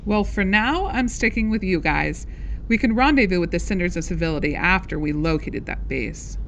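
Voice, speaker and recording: A woman playing a serious, businesslike spy agent in a science-fiction audio drama, speaking in a measured, formal briefing manner. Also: lowish voice, lively intonation, slight background noise, rough recording. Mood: matter-of-fact.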